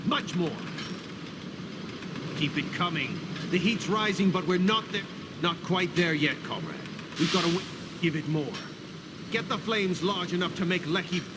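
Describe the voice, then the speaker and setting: An actor playing a hearty, devout warrior smith who gives formal, emphatic encouragement in a video game. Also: male voice, on the high side. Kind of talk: video game character dialogue. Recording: clear background noise of a game scene.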